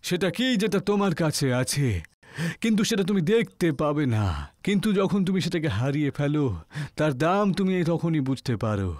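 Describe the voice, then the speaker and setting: A man's voice: fairly high pitch, big pitch swings, moderate pace, a little mellow, no room sound. Man playing angry treasure-guarding demon spirit, menacing, commanding, scolding riddler, dry recording, horror audio story. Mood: angry challenge. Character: wrathful male yaksha spirit guarding treasure, posing deadly riddles.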